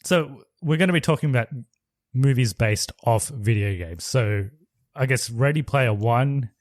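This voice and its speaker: A man's voice: medium pitch, lively intonation, measured pace, no room sound, clean studio sound. A man co-hosting a podcast in casual chat, explaining things step by step with hesitant restarts. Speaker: podcast co-host.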